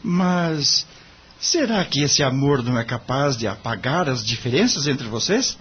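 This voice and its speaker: A man's voice: mid-range voice, big pitch swings, a little slow, fairly bright tone. A Brazilian man playing a courteous, idealistic young lawyer in an audio drama, formal and earnest. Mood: earnest, pleading. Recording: muffled lo-fi audio, background noise.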